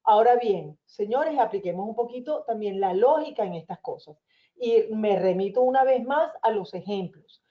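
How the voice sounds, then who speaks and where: A woman with a Venezuelan accent, an immigration lawyer explaining visa options in a webinar in a calm, reassuring manner. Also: mid-range voice, normal speed, dull audio. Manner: reassuring, explanatory, addresses listeners as señores.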